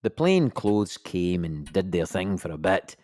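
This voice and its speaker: An actor playing a measured, formal investigator of a suspicious death in an audio drama. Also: male voice, deep voice, very animated, darkish tone. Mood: measured, official. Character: dry, officious male investigator of a suspicious death.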